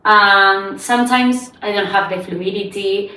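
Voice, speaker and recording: Slow-talking Spanish woman. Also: medium pitch, fairly even intonation, sharp tone, recorded from a distance. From Spain. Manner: careful, searching for words. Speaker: podcast co-host. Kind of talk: casual chat. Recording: roomy, from off the mic.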